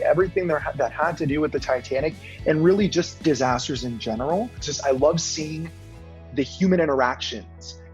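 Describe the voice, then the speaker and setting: A man's voice: medium pitch, a little mellow. A young man from Honduras, a guest telling stories in a chatty way with many "like" fillers. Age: young adult. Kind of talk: casual storytelling. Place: Toronto.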